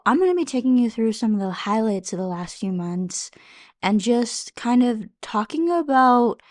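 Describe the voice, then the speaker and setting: A woman's voice: fairly high pitch, darkish tone. A young adult woman with a slightly stuffed-up voice, talking casually and ramblingly in the manner of a vlogger giving an update. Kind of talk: casual chat to camera, question-and-answer update.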